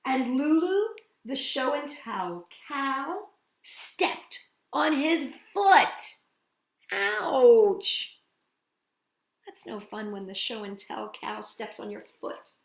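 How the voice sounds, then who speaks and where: A woman hosting a children's storytime, reading and singing in a playful, expressive way with drawn-out words and chatty asides. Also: high-pitched, creaky, roomy sound. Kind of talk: animated picture-book read-aloud and children's singing.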